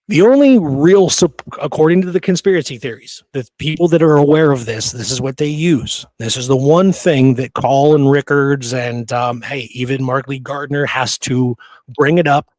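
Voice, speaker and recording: A man's voice: mid-range voice, normal speed, darkish tone, no echo. Male history podcast host: casual, opinionated, blunt talker, mid-range voice, dry close recording.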